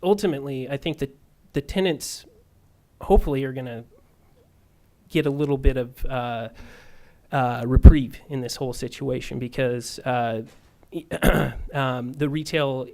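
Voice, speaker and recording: Man, mid pitch, halting panel answers, fillers and restarts. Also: fairly even intonation, vocal fry, darkish tone. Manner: hesitant, many fillers and restarts. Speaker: commercial real estate appraiser on a panel.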